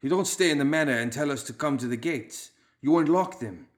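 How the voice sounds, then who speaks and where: A man voicing a gruff, suspicious gang leader with a hard Balkan accent and a clipped, growling delivery. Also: fairly high pitch, lively intonation, brisk, voice pushed up, lightly acted. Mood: wary, mocking.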